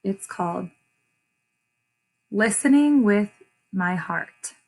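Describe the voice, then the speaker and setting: A woman reading a picture book aloud like a teacher, deliberate and expressive, pausing to explain and repeat phrases for young listeners. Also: medium pitch. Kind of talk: children's read-aloud with short explanations.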